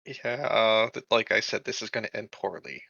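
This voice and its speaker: A man's voice: fairly low pitch, normal speed, creaky, bright, forceful tone, lo-fi sound, slight background noise. A man playing a tabletop roleplaying game, talking casually. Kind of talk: casual chat.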